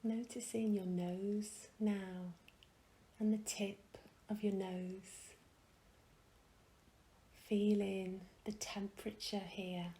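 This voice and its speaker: Female meditation guide: very slow, gentle and hushed, some creak in the voice, faint noise behind. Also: mid-range voice, low-quality recording. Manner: soft, soothing, gentle delivery with long pauses.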